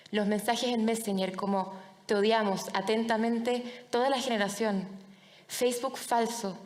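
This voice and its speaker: Young chilean woman giving a personal talk, highish voice, big echoey hall, pauses for effect. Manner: deliberate, dramatic pauses in short phrases. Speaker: public speaker, anti-bullying foundation director. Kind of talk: personal storytelling talk to an audience. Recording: reverberant large hall.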